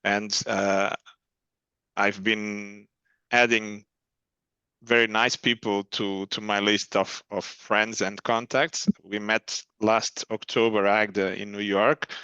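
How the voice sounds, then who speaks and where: Bright-voiced Portuguese-accented man, fairly monotone. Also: on the low side, slow pace, clear, resonant tone, no echo. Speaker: marketing and brand manager, panelist. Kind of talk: informal webinar presentation. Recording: slightly dull, lo-fi call audio.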